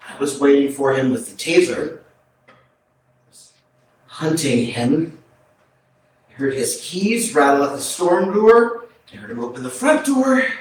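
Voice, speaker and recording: A man in a staged play reading, acting a remorseful prisoner who confesses to killing his family in halting, subdued, terse phrases. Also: fairly high pitch. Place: arts center stage, indoors, recorded from afar. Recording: very echoey hall, distant mic, background noise.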